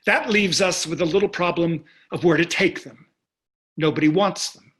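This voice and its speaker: A man's voice: a little high, moderate pace, a bit bright, close to an everyday voice. A man playing a smug, callous wealthy husband in a staged play reading, sardonic and condescending. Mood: dismissive, cold.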